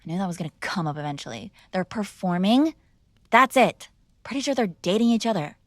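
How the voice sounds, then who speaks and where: A woman's voice: mid-range voice, animated, brisk, dry recording, clean studio sound, natural voice. A woman playing a spoiled, defiant mob boss's young daughter in a conversational, argumentative ASMR roleplay. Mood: defensive, exasperated. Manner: conversational, sighing, coaxing and argumentative. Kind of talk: ASMR girlfriend roleplay, one-sided conversational acting.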